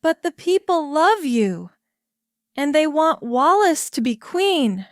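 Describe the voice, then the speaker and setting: A woman's voice: sits high, sing-song, takes it slowly, no room sound, clean studio sound, voice pushed up, exaggerated voice. A woman playing a pleading old statesman. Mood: urgent. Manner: urgent, pleading. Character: loyal, urgent older male statesman friend.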